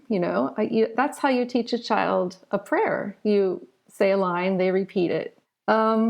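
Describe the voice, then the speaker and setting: A woman's voice: mid-range voice, creaky, a little mellow. A middle-aged woman telling personal stories conversationally as an interview guest. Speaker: interview guest, children's author.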